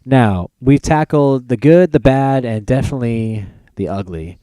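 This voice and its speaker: A man's voice: medium pitch, measured pace, warm tone, smooth, no echo. A man hosting a casual podcast chat, talking in an informal, agreeable way. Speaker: podcast host.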